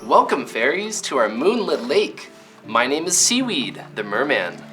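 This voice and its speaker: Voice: medium pitch, big pitch swings, unhurried, over music. A narrator voicing a welcoming merman in a children's fairy-tale play. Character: merman welcoming fairies to his lake.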